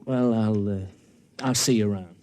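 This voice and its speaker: A man's voice: on the low side, fairly even intonation, normal speed, darkish tone, lightly acted. An actor playing a callous, casual young man in a 1950s radio drama, offhand and blunt. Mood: resigned.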